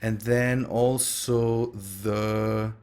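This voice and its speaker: A man's voice: fairly low pitch, fairly even intonation, drawn-out words, a little mellow, clear, resonant tone. A man with a German accent and German as his native language, giving casual gameplay commentary in a hesitant, thinking-aloud way with self-corrections. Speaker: gaming video host.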